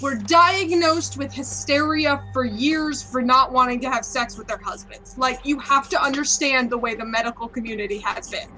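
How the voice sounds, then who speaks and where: A woman's voice: high-pitched, normal speed, hardly any pauses, bright, forceful tone, rough recording. A woman in her late twenties chatting casually while playing a game, opinionated and ranting with plenty of swearing. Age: 20s. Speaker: gaming channel host. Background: game music.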